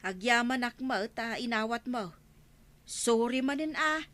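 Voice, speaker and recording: A woman's voice: on the high side, lively intonation, measured pace, fairly bright tone. A woman with an Ilocano Filipino accent playing a contrite, reassuring village councillor in a radio comedy-drama. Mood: apologetic.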